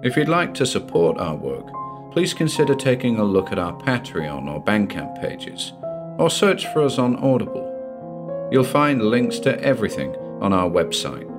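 A man's voice: on the low side, sing-song, normal speed, over music. A man hosting a horror story channel, delivering a scripted sign-off to listeners.

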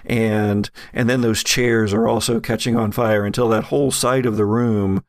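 A man's voice: on the low side, fairly even intonation, normal speed, dry recording, clean studio sound. A man acting as game master, improvising narration for a tabletop horror game.

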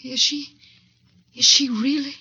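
A woman's voice: high voice, steady tone, unhurried, bright, forceful tone, dry recording. An actress playing an anxious, lovestruck woman who narrates her ordeal in an earnest, melodramatic 1940s radio drama style. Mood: anxious, pleading. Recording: muffled 1940s radio recording with music.

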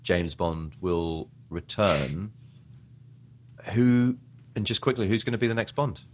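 A man's voice: fairly low pitch, steady tone, takes it slowly. A man co-hosting a podcast, chatting casually and prompting the others with questions. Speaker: podcast co-host.

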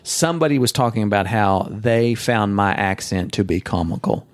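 Rambling Oklahoma-accented podcast co-host. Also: male voice, lowish voice, animated, moderate pace. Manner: rambling, mock-irritated, joking. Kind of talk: casual podcast banter.

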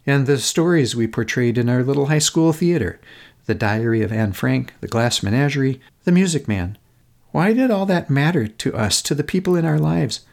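Reflective man with expressive, lively intonation. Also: medium pitch, gravelly. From Minnesota, United States. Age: middle-aged. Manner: earnest, contemplative, rhetorical questions, deliberate phrasing in short pieces. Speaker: podcast host. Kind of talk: scripted reflective personal essay narration.

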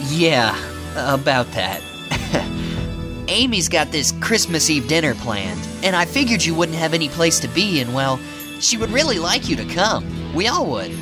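Woman as an animated, boyish hero hedgehog. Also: sits low, measured pace, music in the background. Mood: earnest, friendly. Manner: eager, expressive, informal. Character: earnest, friendly young male hero hedgehog. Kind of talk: amateur radio play acting.

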